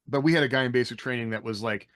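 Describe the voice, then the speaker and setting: An American man with a medium-pitched voice chats on a podcast. His delivery is casual and meandering, full of anecdotes and "like". The sound is dry, with very little room in it. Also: rapid-fire, a bit bright, dry recording. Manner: rambling, anecdotal, frequent "like". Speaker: podcast co-host. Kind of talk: casual chat about military life and fiction.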